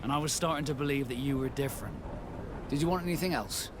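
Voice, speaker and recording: A man's voice: mid-range voice, fast talker, natural voice. Man acting a reserved ship captain in a game, dry and polite, noisy outdoor ambience. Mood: guarded. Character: guarded, dry sea captain, reluctantly at service. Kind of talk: video game dialogue.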